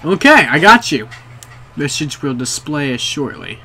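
A man's voice: on the high side, measured pace. Young man, jumpy horror let's play host, cursing a lot, small bedroom, faint hiss. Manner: jumpy, excitable, swears a lot, talks to viewers. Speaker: gaming video creator.

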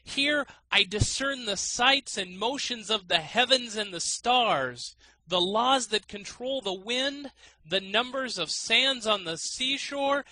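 Man putting on a high, grandiose storybook trickster. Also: bright, forceful tone, dry recording, exaggerated voice, low-quality recording. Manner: declamatory, slow and grandiose archaic speech. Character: trapped man feigning lofty, boastful wisdom. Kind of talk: storybook character reading.

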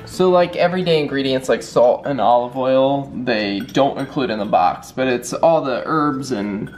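A man's voice: mid-range voice, moderate pace, music in the background. A young man co-hosting a casual cooking vlog in his home kitchen, plainly explaining each step. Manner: easygoing, explaining steps plainly. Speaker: vlog co-host. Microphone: handheld camera.

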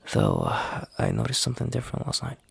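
Man acting a gravelly, hesitant young boyfriend. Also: mid-range voice, no room sound, full character voice. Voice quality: husky, gravelly. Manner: halting, hesitant, soft-spoken, sighing. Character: young boyfriend, anxious, tender, hesitant, easily exasperated. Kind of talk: boyfriend roleplay ASMR.

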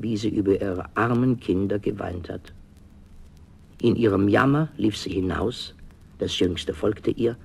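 A man narrating a fairy tale as a storyteller, expressive and theatrical, in a dramatic scripted style. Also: lowish voice, gravelly, a little hiss or hum.

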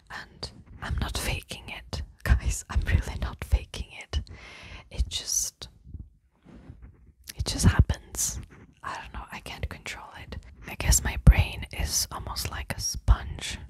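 A young woman from the Czech Republic, whispering ASMR chat into a small fluffy mic held at her lips, her accent a mix of American and British. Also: poor audio. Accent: mixed American and British, picked up from media. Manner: soft whispering close to the mic, chatty and rambling. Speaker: ASMR creator. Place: small room in front of a bookshelf. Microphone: fluffy mini mic held at the mouth.

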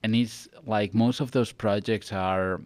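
A young man with a Spanish accent speaks in a low voice, close and dry on a studio mic. He talks casually and earnestly, stressing his points like a guest in a relaxed interview. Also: measured pace, no echo. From Catalonia, Spain. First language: Spanish and Catalan. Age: young adult. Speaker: actor, podcast guest. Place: small podcast studio. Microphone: close boom-arm studio mic.

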